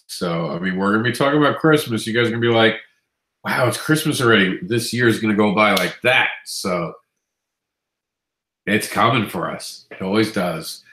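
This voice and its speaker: A man's voice: on the low side, lively intonation, normal speed, bright, forceful tone. Congested nasal man in an echoey room. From Queens, New York. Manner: chatty, upbeat, self-correcting. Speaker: comics news host. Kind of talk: casual news roundup.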